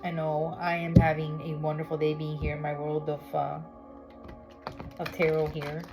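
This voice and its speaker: A woman's voice: low-pitched, monotone, normal speed. A woman from Miami doing a tarot reading in a chatty way, checking in with "okay?" often. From Miami, United States. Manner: chatty, with many fillers and "okay?" check-ins. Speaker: tarot reader. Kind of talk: casual spiritual reading vlog. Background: background music.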